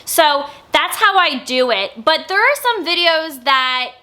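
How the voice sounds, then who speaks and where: Loud, bright-voiced young woman. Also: high voice, lively intonation, unhurried. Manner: naturally loud, with stammers and many filler words. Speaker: YouTuber. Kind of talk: casual sit-down Q&A vlog. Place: indoors, sit-down filming setup.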